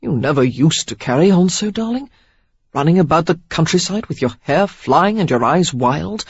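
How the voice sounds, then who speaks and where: A woman's voice: deep voice, lively intonation, moderate pace, husky, exaggerated voice. A woman narrator voicing a stern, reproachful young man in an audiobook, speaking in clipped, chiding tones. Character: stern, prudish young man, easily outraged. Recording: slightly dull lo-fi recording.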